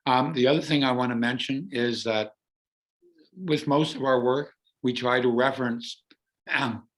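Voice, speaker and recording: A hoarse man from Canada with laryngitis, an artist earnestly explaining his artwork over a video call. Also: mid-range voice, measured pace, a bit bright, low-quality recording.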